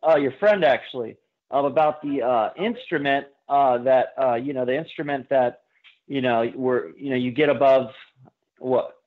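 A man calling in to a radio show, chatting informally in a halting, rambling way over a phone line. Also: mid-range voice. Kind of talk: casual chat. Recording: tinny narrow phone line.

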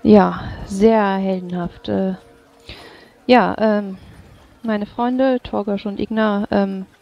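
A woman's voice: medium pitch, fairly even intonation, slow pace, vocal fry. A woman running a tabletop roleplay as game master, speaking politely, formally and with some hesitation. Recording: dull lo-fi microphone.

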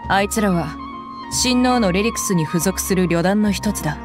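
A woman voicing a tough young mercenary who talks in a rough, casual way in a game cutscene. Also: medium pitch, moderate pace, music in the background, natural voice. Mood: easygoing. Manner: rough, casual, gruff slang. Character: tough young female mercenary, blunt, easygoing. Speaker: game character.

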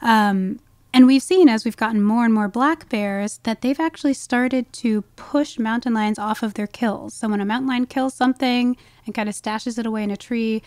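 A woman's voice: on the high side, moderate pace, a little mellow, no room sound. A woman speaking as an expert guest in a conversational podcast interview, explaining things with frequent "you know" asides. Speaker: guest wildlife ecologist.

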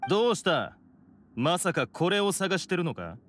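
A man's voice: high-pitched, big pitch swings, normal speed, fairly bright tone, slight background noise. An actor playing a stern, proud, self-sacrificing young oni man in a Japanese anime game, intense and curt. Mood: cold. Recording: prominent music behind the voice. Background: dramatic game music.

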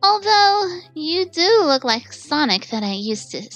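A woman voicing a feisty, easily flustered young female cartoon hedgehog with dramatic, drawn-out delivery. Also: high voice, very animated, fairly bright tone, heavily acted. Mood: awkward, embarrassed. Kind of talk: comic dub voice acting. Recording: music playing behind the voice.

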